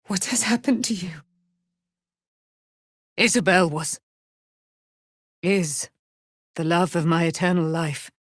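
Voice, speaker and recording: A woman's voice: on the low side, dry recording, clean studio sound. A woman playing a proud, noble immortal warrior in a fantasy video game, with grand, archaic, theatrical speech. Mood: tender, anguished.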